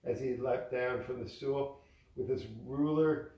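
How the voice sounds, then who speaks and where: A man's voice: medium pitch, fairly even intonation, unhurried, mellow. A man narrating a storybook aloud as a father reading to his child. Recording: echoey room, distant from mic.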